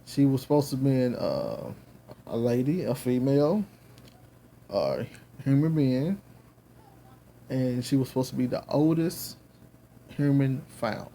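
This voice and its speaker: Southern guy doing a bible lesson from home, earnest, lots of ums, low gravelly voice. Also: expressive, slow pace, pauses often, mellow, slight background noise. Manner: earnest, rambling, many fillers and restarts. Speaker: Bible teacher. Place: home with children and babies around.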